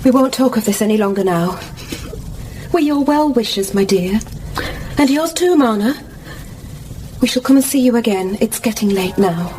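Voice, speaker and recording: Female actor as kindly, composed gentlewoman and wife, radio play. Lightly high voice, consoling, slight background noise. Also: expressive, normal speed, lightly acted, low-quality recording. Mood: politely parting. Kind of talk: radio drama acting.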